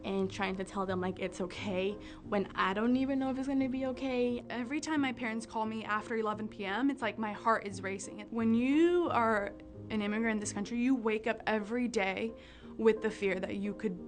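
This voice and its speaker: A woman's voice: a little high, brisk. A woman in her twenties from Mexico, giving an earnest, heartfelt testimony to camera in a documentary interview. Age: 20s. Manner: earnest and heartfelt, with small restarts. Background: soft music.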